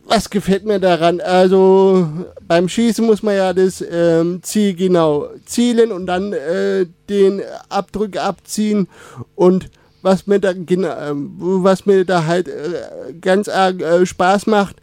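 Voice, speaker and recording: Slow, halting young man. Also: high-pitched, a little mellow, smooth, no room sound. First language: Turkish. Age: 20s. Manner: halting, many fillers and restarts. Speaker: radio guest. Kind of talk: casual interview.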